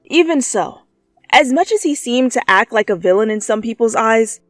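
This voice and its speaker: A woman reading fan fiction as dramatic storytelling, with an expressive, playful delivery. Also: a little high, normal speed, no room sound, clean studio sound. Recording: background music under voice. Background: music.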